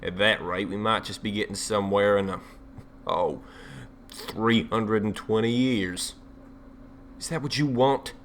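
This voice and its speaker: A man's voice: on the low side, a little slow, pauses often, a little hiss or hum, natural voice. A man playing a blunt, sardonic leader of dragon slayers in an audio drama. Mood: scornful, bitter. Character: gruff, defiant American man leading dragon slayers.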